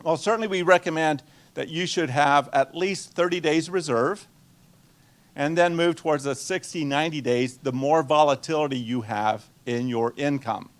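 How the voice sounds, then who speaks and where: A man's voice: fairly high pitch, moderate pace. A man in his sixties, from Guatemala and a native Spanish speaker, giving a conversational finance seminar, quizzing his audience and slipping in dry jokes. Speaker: nonprofit finance presenter.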